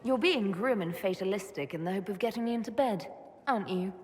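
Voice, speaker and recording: Woman voicing a sincere resolute elf heroine in a game, mid pitch, talks direct, little bit of background noise. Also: brisk, darkish tone, close to an everyday voice. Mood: teasing. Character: earnest, resolute young female elven heroine. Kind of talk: dramatic video game dialogue.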